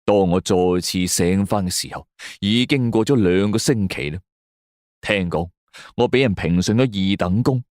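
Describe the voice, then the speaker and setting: A man's voice: lowish voice, normal speed, darkish tone, no echo, clean studio sound. A man narrates a scripted crime and ghost story in a suspenseful, theatrical way. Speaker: audio drama narrator. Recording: music bed under the voice. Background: background music.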